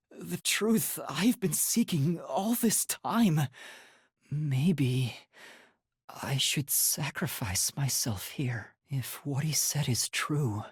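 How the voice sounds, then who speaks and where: Casting: young trainee detective for a video game, earnest and anguished, breathy boyish tone, highly animated intonation, dry studio sound. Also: male voice, dry recording, heavily acted. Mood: somber, resolute. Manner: earnest, emotional, hesitant. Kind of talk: dramatic English video game voice acting.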